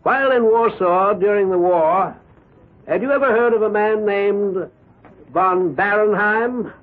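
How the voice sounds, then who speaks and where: An actor playing a formal, probing defence counsel, speaking deliberately in the manner of 1970s radio drama. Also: male voice, high-pitched. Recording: muffled lo-fi 1970s radio recording.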